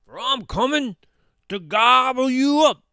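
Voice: sits high, pushed and bright, clean tone, no echo, clean studio sound, pitched above the performer's own voice, full character voice. A storyteller voicing a hungry, bullying troll with a roaring, menacing delivery for a children's folk tale. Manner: roaring, menacing, drawn-out threats.

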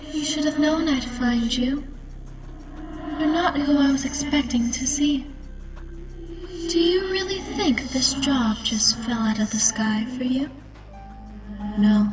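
A woman's voice: sits high, slow pace, long pauses. A woman voicing a sinister, coldly patient phantom animatronic girl in a fan voice reel, with teasing menace. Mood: taunting. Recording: distant voice with music underneath.

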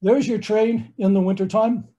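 An older man with an American accent talks through a slideshow from his book-lined study. His voice sits fairly high for a man yet sounds mellow and warm, and he explains things at an even, friendly pace. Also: moderate pace. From United States. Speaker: astronomer and tour lecturer. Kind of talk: online slideshow lecture. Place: home study with bookshelves.

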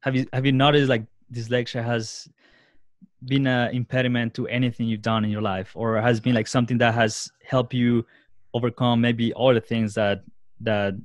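A young male podcast host speaks at a middle pitch with little melodic movement. His phrasing is casual and a bit rambling, feeling its way toward each question. The sound is dry, with hardly any room on it. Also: little pitch movement, normal speed, no room sound. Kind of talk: casual interview.

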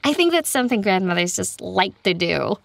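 A woman's voice: medium pitch, very animated, brisk, vocal fry, dry recording. A woman playing a chatty, teasing and supportive young woman in an audio drama. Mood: friendly, supportive. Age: young adult. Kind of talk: scripted audio drama dialogue.

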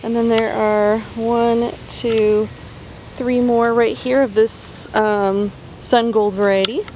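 A woman's voice: a little high, flat delivery, slow, noisy background. A woman vlogger giving a casual walking tour of her backyard vegetable garden, pointing out plants one by one, filmed on a handheld camera outdoors. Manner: chatty, pointing things out plant by plant. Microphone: handheld phone camera, filming while walking.

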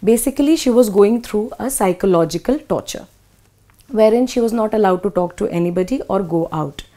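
Mellow-voiced Indian woman teaching. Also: medium pitch, animated, brisk. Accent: Indian English. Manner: clear, methodical, spells words out. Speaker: English teacher. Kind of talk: classroom lesson explanation. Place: small studio in front of a whiteboard.

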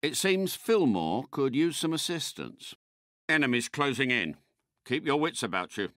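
A man's voice: medium pitch, sing-song, measured pace, fairly bright tone, no room sound, clean studio sound. A man playing a suave British secret agent car in a video game, polite and unflappable, with clipped, precise diction. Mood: composed, courteous.

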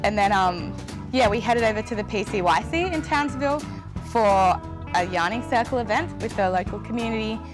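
A woman's voice: a little high, lively intonation, brisk, music in the background. A young adult Australian woman recounting experiences in a casual interview. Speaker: youth climate network member. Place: indoor living room on a sofa.

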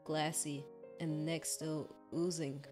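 Low-pitched woman, expressive game streamer. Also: slow pace. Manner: expressive, exclaiming reactions. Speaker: gaming streamer. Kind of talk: let's play, reading a visual novel aloud with reactions. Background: game music.

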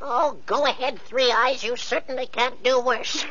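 A woman's voice: high-pitched, fairly even intonation, measured pace, a bit bright, highs cut off, a little hiss or hum. An actress playing a scheming, bossy mother in an old radio play, bossing her daughters about. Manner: bossy, fawning to royalty. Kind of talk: 1940s radio acting.

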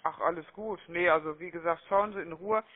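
A man with a notably high voice talks as a call-centre agent on a phone sales call. He is chummy and wordy, tacking small questions onto his sentences. The sound is thin and narrow, like a telephone line. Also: a little fast. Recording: muffled, narrow-band phone-call audio.

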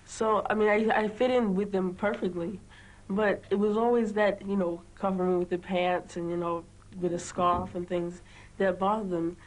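A woman's voice: medium pitch, fairly even intonation, normal speed, low-quality recording. A teenage female student with a British accent, talking informally in an interview. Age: teens. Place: small indoor classroom.

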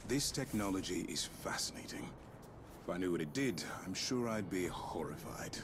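An actor playing a dry, sardonic soldier-adventurer in a video game, musing aloud with understated delivery. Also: male voice, deep voice, expressive, normal speed, background noise. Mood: sardonic curiosity. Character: dry, sardonic male soldier-adventurer examining strange devices.

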